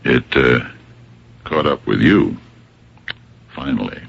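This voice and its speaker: A man playing a patient, shrewd police captain in an old-time radio drama, very deep and husky, speaking hesitantly and courteously but with quiet insistence. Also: big pitch swings, takes it slowly, heavily acted. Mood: quietly insistent. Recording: dull, muffled vintage radio recording.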